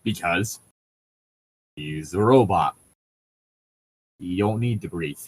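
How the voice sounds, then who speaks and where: A man's voice: sits low, slow pace, husky, poor audio. A man chatting casually as a co-host, explaining things in a rambling, nitpicky way. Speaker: podcast co-host reading and riffing on a novel. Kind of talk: casual banter and commentary.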